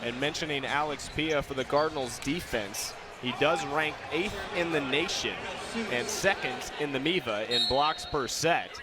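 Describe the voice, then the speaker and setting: A man's voice: medium pitch, normal speed. An American man calling live volleyball play-by-play, raising his voice on the big plays. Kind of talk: live sports commentary. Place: indoor sports arena during a match. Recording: loud gym noise, bouncing balls. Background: loud arena noise, balls bouncing.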